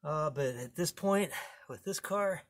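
A man's voice: a little high, animated, measured pace, a little hiss or hum. A man narrating his own car repair in a casual how-to style, plain-spoken and wry, with many fillers and restarts. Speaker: home mechanic showing a car repair.

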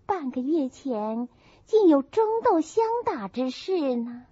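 A woman's voice: high-pitched, big pitch swings, takes it slowly, warm tone, dry recording, heavily acted. A woman voicing an outspoken, earnest young noblewoman in an audiobook, explaining respectfully, in a muffled recording. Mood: earnest, concerned.

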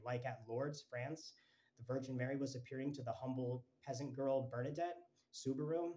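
A man in his thirties speaks straight into a phone held close to his face in a small room at home. His delivery is fervent and rambling, stringing claims together with restarts and "and"s, at an ordinary middle pitch. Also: darkish tone, low-quality recording. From Twin Cities, Minnesota. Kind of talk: earnest religious monologue to camera. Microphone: phone held close, selfie framing.

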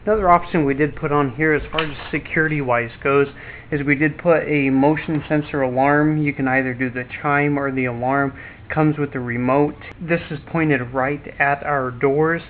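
A man's voice: on the high side, normal speed. Man with a tinny, phone-like sound. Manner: relaxed, explanatory, with fillers and restarts. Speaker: DIY channel host. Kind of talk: casual walkthrough vlog. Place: inside an empty cargo trailer. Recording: tinny lo-fi audio with faint background noise.

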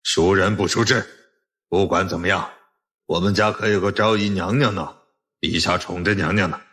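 A man's voice: low-pitched, steady tone, normal speed, fairly bright tone, slightly rough, no room sound, studio quality. A man playing a brazen, haughty count, a villainous father, in an audiobook drama with a challenging delivery. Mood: haughty, defiant. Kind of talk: audiobook drama acting in Mandarin.

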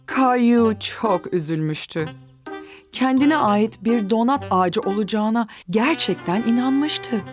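A woman narrating a scripted children's story in a gentle, measured way. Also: fairly high pitch, lively intonation, soft background music.

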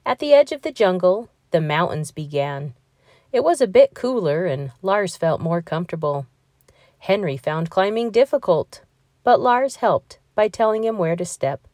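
Woman reading a children's story with lively pitch. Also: no room sound, studio quality. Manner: expressive, deliberate storytelling. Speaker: storybook reader. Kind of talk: children's read-aloud narration.

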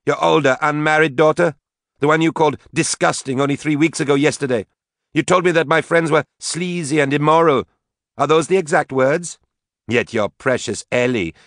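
A man's voice: on the high side, no room sound, studio quality, voice pushed up, lightly acted. A man voicing a drunk, defiant, bitter young woman in an audiobook, sarcastic and theatrical. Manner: sarcastic, theatrical, loud declaiming.

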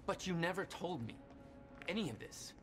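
Wry action hero, younger brother, high voice, blunt, sarcastic, loud game music. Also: male voice, expressive, moderate pace. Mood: bitter. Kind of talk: naturalistic video game acting.

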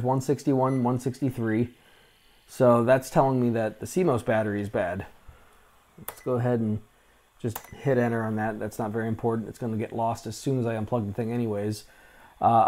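A man in his forties hosting a vintage computer channel, talking casually through a hands-on restore at a desk in a small room, wearing a lapel mic, with lots of "uh" fillers. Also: mid-range voice. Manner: relaxed, chatty, frequent "uh" fillers. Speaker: vintage tech channel host. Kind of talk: casual hands-on tech walkthrough.

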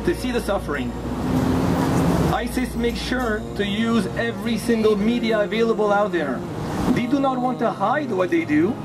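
A middle-aged man from Morocco giving an earnest, deliberate prepared speech at a rally, phrase by phrase, into a handheld microphone. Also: high-pitched. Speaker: humanitarian organization founder, rally speaker. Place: outdoors on a city plaza. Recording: noisy outdoor street recording. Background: loud street traffic noise.

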